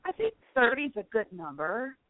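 High-voiced woman caller on a phone line. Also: sing-song, moderate pace. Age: 50s. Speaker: caller to a radio show. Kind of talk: casual chat. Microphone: phone call. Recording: tinny narrow-band phone line.

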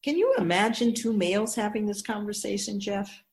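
A woman playing an ageless, wise spirit guide in a radio drama, explaining in a measured, emphatic, instructive way with deliberate pauses. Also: mid-range voice, a little mellow, close to an everyday voice. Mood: reverent, solemn. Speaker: teacher figure in a radio drama.

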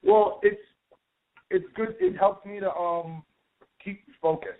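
A young man, an acting student, calling in to a radio show and talking casually, often stumbling and repeating words. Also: sits high. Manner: halting, repeats himself. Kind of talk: casual phone interview. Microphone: telephone. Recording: tinny phone line.

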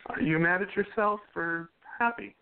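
A man's voice: high-pitched, moderate pace, clear, resonant tone. A man playing a devoted young newspaperman in a 1920s radio romance, speaking in an emphatic, romantic way. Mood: tender. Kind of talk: radio play acting. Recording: tinny phone-like line.

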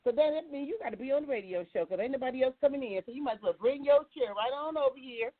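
A woman's voice: sits high, lively intonation, fast talker. A woman with an American accent hosting a casual, chatty live talk show, asking questions in an informal, folksy, conversational way. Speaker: talk show host taking calls. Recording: thin phone-like audio.

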